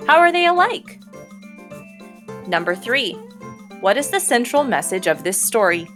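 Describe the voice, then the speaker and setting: A woman reading a children's storybook aloud as a teacher, with an expressive, varied delivery. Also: fairly high pitch, a little slow, soft background music. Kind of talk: animated storybook read-aloud narration.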